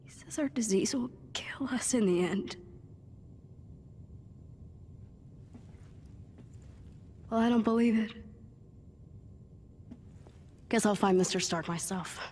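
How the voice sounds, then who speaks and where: A woman's voice: mid-range voice, a little fast, darkish tone, slight background noise, close to an everyday voice. A woman playing an earnest, determined teenage superhero fan in a video game cutscene. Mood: hurt, defiant. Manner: earnest, pleading.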